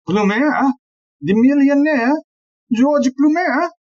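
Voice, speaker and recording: A woman acting in an audio play as a smooth-talking, dismissive male police chief inspector who is a philanderer. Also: high-pitched, animated, slow, darkish tone, highs cut off. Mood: startled.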